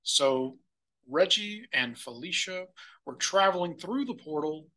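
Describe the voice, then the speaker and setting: A man narrates a children's storybook for storytime. His delivery is slow and deliberate, with lively swings in pitch. The voice is bright and forward. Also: rough recording. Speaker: storybook reader.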